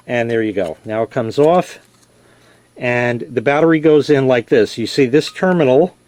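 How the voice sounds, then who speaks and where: A man gives a casual product review at a mid-range pitch. He explains each step in plain, conversational language, like someone showing gear to a viewer. The sound is dry, with very little room echo. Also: darkish tone.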